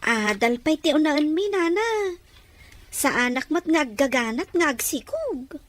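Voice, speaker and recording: A woman's voice: sits high, big pitch swings, unhurried. A woman playing a worried young daughter in a serial family drama. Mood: calm, deferential. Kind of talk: Ilocano radio-style serial drama acting.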